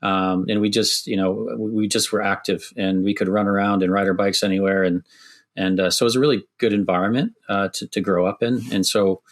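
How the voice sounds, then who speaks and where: A middle-aged man with a Midwestern American accent speaks in a fairly low voice. He answers podcast questions thoughtfully, often restarting his sentences. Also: little pitch movement, a little fast. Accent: Midwestern American, softened by years in Canada. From Wisconsin, USA. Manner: thoughtful, many restarts and fillers. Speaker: construction executive guest.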